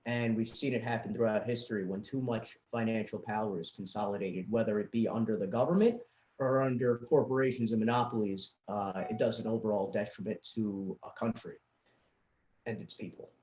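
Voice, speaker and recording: A young man from New York with a New York accent, speaking as a podcast guest in a measured way, trailing off at the ends of his points. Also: lowish voice, darkish tone, roomy sound, lo-fi sound. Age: young adult. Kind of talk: casual political discussion.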